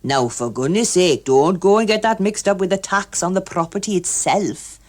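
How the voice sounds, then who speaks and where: A woman's voice: fairly low pitch, normal speed, close to an everyday voice. A woman voicing a cheery, pedantic middle-aged male office worker in an audiobook, explaining emphatically with small hesitations. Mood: earnest, pedantic. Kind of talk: audiobook character voice.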